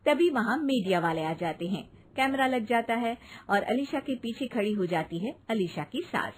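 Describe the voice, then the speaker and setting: A woman's voice: fairly high pitch, animated, normal speed, slight background noise. A woman reading a scripted moral story as its narrator.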